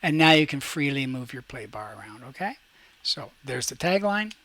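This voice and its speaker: A man teaching a class, talking casually through each step of a software tutorial and checking in as he goes. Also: mid-range voice, very animated, moderate pace. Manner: talks through each step while demonstrating, checks in with "okay".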